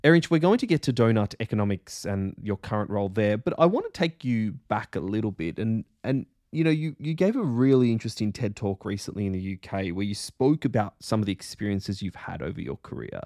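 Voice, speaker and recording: A man hosting a podcast, delivering introductions and questions in a deliberate, articulate way. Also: fairly low pitch, big pitch swings, a little mellow, no echo, studio quality. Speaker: podcast host. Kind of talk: scripted introductions and interview questions.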